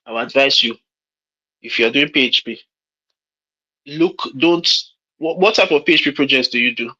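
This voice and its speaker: A man's voice: mid-range voice, moderate pace, pushed and bright, breathy edge. A man in his late thirties with a Nigerian accent, giving frank, rambling career advice on a call and often restarting his sentences. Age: late 30s. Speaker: mentor hosting a call. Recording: lo-fi call audio.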